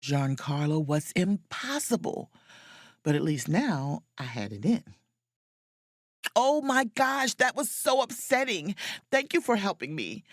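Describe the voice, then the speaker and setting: A woman's voice: a little high, fairly bright tone, dry recording, studio quality. A woman acting a proud, self-dramatizing chief mate who narrates an audio drama as a wry diary. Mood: wry, confiding. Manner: theatrical, wry, emphatic.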